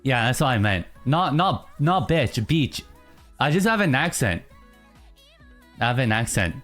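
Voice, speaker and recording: Lively-pitched streamer chatting with chat. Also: a little slow, faint music behind. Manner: reacts to chat, teasing, mock-indignant bursts; mumbly, trailing off in low musings. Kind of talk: casual live-stream chatter.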